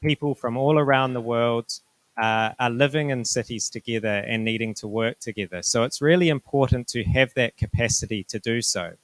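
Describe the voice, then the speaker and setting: A middle-aged New Zealand man with a New Zealand accent gives a presentation to students. He speaks in a polite, measured way and explains each point clearly. His voice sits at an ordinary male pitch, and the recording is dry with no room echo. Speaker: university director presenting to students. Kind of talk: informational presentation.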